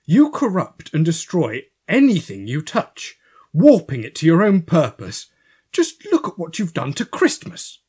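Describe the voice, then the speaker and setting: A stern, righteous archangel, acted by a woman in a comic audio play. The husky voice sits slightly low yet moves with lively, emphatic swings as it reproves. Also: unhurried, exaggerated voice. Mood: outraged. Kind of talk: comic audio drama acting.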